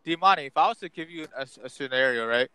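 Young male host, bright pressing voice, a bit high, pointed questions. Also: animated, fast talker, clean tone, no room sound, poor audio. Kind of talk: casual debate.

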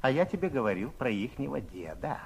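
An actor playing a spiteful, wheedling old neighbour who needles and flatters in a 1960s Soviet radio play. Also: male voice, mid-range voice, brisk, creaky, husky, full character voice. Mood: sly, taunting. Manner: ingratiating, needling, exclamatory. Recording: muffled 1967 radio theatre recording.

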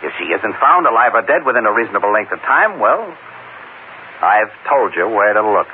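An actor playing a breezy, inquisitive crime photographer, talking in casual slang in an old-time radio drama. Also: male voice, lowish voice, sing-song, normal speed. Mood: grimly convinced. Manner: casual, slangy, hesitant probing. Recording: tinny, noisy old radio broadcast.

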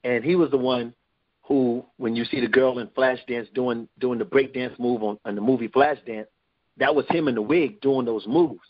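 A man's voice: medium pitch, steady tone, normal speed. Rambling New man, muffled line. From New York. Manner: rambling with many restarts. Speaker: guest. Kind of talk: casual interview talk.

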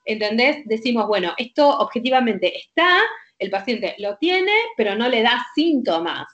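A woman's voice: on the high side, sing-song, moderate pace, fairly bright tone. A woman with a Rioplatense Spanish accent, a doctor explaining at length in an insistent, emphatic way and often checking that the listener follows. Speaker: doctor guest. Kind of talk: heated conversational explanation. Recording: lo-fi dull audio.

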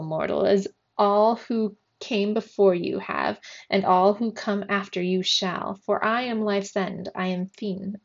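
A woman voicing Death as a solemn, grandiose fiend in a storybook, speaking slowly and pompously in archaic phrases. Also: medium pitch, dull audio.

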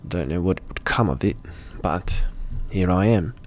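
A man's voice: low-pitched, measured pace, slight background noise. A man hosting a solo podcast, talking unscripted and thinking aloud, rambling and often correcting himself mid-thought.

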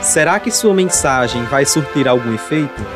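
A man's voice: mid-range voice, expressive, moderate pace, over music. A man with a Northeastern Brazilian accent giving scripted, teasing narration for a radio soap.

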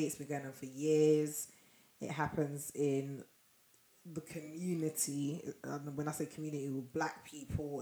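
Hoarse low-voiced woman podcaster. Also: roomy sound. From Nigeria. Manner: laughs often, animated. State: losing her voice. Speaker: podcast co-host. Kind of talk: casual chat.

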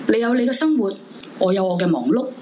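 A woman's voice: mid-range voice, lively intonation, quick pace, slight background noise, close to an everyday voice. An actress playing a distraught, clinging young woman in a radio drama, in Hong Kong Cantonese, with a melodramatic delivery. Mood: brooding, bitter. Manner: pleading, melodramatic, shifting between hushed monologue and frantic cries. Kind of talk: Cantonese supernatural radio drama, acted live with first-person narration. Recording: loud music behind the voice. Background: music.

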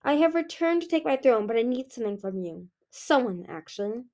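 A woman's voice: on the high side, very animated, brisk, a little mellow. A woman voicing a cold, vengeful female dragon queen who speaks in a hissing, commanding way.

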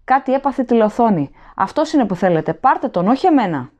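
A woman's voice: on the high side, very animated, a little mellow, pitched above the performer's own voice. A woman reading an audiobook voices a starving, terrified male prisoner who pleads frantically and rises to shrieks. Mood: shrieking. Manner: desperate pleading, rising to shrieks.

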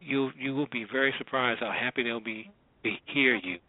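A man's voice: mid-range voice, steady tone, moderate pace, no echo. A middle-aged American man hosting a radio show, rambling conversationally through a casual, unscripted sign-off. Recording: roomless, dry, tinny phone-like line.

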